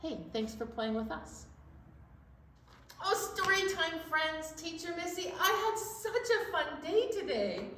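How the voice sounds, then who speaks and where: A woman presenting a children's storytime in a playful, animated way, moving between chatty talk and sing-along. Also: extremely high pitch, unhurried. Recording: very echoey room, distant mic, faint noise.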